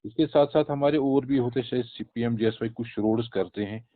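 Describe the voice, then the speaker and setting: A man's voice: medium pitch, fairly quick. A man with a Kashmiri accent giving an official briefing over the phone, explaining things and mixing in English terms. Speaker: government official being interviewed. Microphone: phone call. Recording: narrow, tinny phone line.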